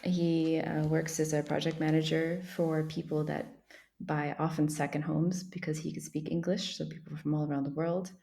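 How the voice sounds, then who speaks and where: A woman's voice: low voice, little pitch movement, moderate pace, gravelly, dark tone. A woman from Montreal, a guest in an interview, answering in a chatty, self-deprecating way. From Montreal, Canada.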